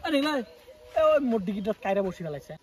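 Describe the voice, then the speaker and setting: Man playing a shrill, exasperated village pond owner. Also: very animated, quick pace. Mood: aggrieved. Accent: northern Bengali regional. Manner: scolding, aggrieved, repetitive. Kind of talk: amateur comedy skit acting. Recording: clearly noisy amateur video sound.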